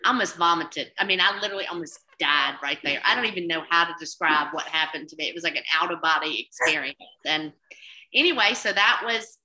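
A woman's voice: sits low, brisk, pushed and bright, a little hiss or hum, low-quality recording. A woman with a Southern US accent, a cookbook author chatting in an interview, rambling with many restarts. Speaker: cookbook author and TV food contributor. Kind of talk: casual interview chat.

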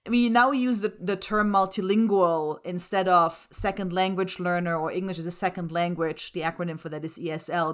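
A middle-aged woman from Germany, a native speaker of German and Slovak, explaining things in an articulate, earnest way as an interview guest. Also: on the low side. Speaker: interview guest, writing center director and associate professor.

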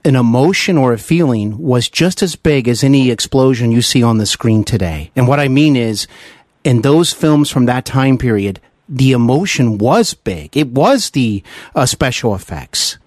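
A man's voice: mid-range voice, expressive, normal speed, darkish tone, no echo, clean studio sound. A man hosting a conversational interview, speaking in an enthusiastic, emphatic way with frequent tag questions. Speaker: interviewer, show host. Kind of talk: conversational radio-style interview.

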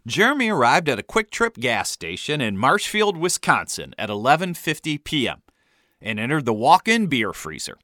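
A highly animated American man narrates a wry comic memoir. His pitch swings widely and his tone is bright and punchy. The sound is dry, with a theatrical storyteller's delivery. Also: normal speed, no echo. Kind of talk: scripted comedic memoir narration.

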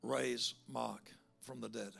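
Male preacher with a big voice and a heavy gravelly edge, bright and forceful tone, music under him. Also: medium pitch, takes it slowly. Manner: animated storyteller, building to loud fervent altar call. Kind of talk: sermon with storytelling.

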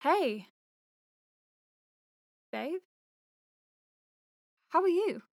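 A woman playing a wry, soft-hearted young clinic doctor in an audio drama, chatting informally as she dictates her notes. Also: extremely high pitch, big pitch swings, very slow, creaky, dry recording, clean studio sound, full character voice. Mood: flustered, eager. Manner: chatty, wry, hesitant asides. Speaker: lead voice actor.

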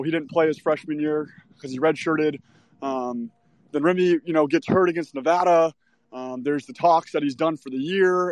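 Higher-voiced man talking sports, close dry sound. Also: no room sound. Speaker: caller, guest commentator on a fan call-in. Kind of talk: casual sports talk.

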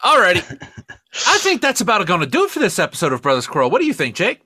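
A man co-hosting a podcast in casual comedic chat, exclamatory and quick to swear. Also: sits high, huge pitch swings, bright, forceful tone, slightly rough, no room sound. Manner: profane, exclamatory. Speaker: podcast co-host.

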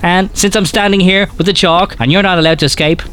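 An actor playing an arrogant, caustic science professor in a comic audio drama, lecturing in a pompous, sardonic and wordy way. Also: male voice, sits high, steady tone, quick pace, a bit bright, a little hiss or hum.

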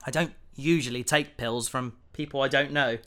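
A man's voice: medium pitch, fairly even intonation, moderate pace, sharp tone, close to an everyday voice. A man playing an earnest, awkward young recruit in a science fiction audio drama, hesitant and modest. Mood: reluctant.

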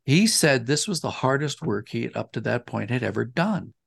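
A man with a medium-pitched, gravelly voice speaks as an interview guest. He is conversational and thoughtful, rephrasing as he explains. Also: lively intonation, normal speed. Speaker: interview guest, audio drama writer and director.

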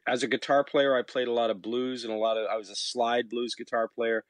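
A middle-aged Canadian musician with a fairly low voice, answering interview questions in a reflective, storytelling way, with some hesitant pauses. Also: male voice, level tone, no room sound. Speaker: musician guest.

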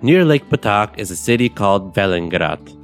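A young man from Bulgaria hosting a podcast, guiding a meditation in a calm, measured, soothing way. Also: fairly low pitch. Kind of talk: guided meditation narration. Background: soft guitar music.

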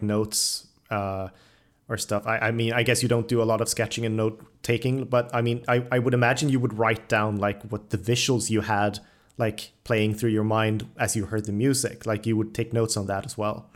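A man hosting a casual interview, speaking haltingly and appreciatively as he rephrases his questions. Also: lowish voice, flat delivery. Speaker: podcast interviewer, host.

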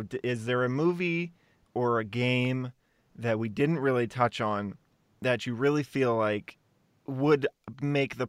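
Clear, dry-sounding male podcast host. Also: medium pitch, dry recording. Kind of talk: casual podcast discussion.

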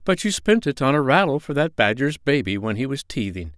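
A man's voice: mid-range voice, sing-song, brisk, fairly bright tone, no echo, clean studio sound, pitched above the performer's own voice. Storybook owl, helpful, male, done by the narrator.

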